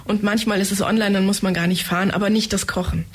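A woman's voice: mid-range voice, steady tone, fast, breathy edge. A woman answering interview questions about her organization, confident and matter-of-fact. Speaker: head of a family education center.

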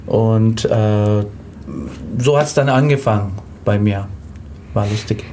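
A man's voice: lowish voice, slow, dark tone, a little hiss or hum. A middle-aged man telling personal stories in an interview, hesitant and often repeating words. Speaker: interview guest, amateur actor. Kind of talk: conversational personal storytelling.

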